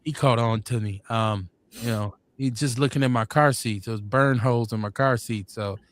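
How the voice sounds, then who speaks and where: A man in his forties telling stories in casual chat, rambling and laid-back, getting animated when quoting others. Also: mid-range voice, normal speed, no echo. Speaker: podcast host.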